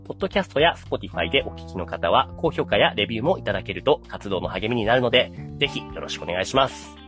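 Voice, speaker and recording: Lively, quick-talking male anime podcast host. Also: lowish voice, soft background music. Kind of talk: casual explanatory podcast chat.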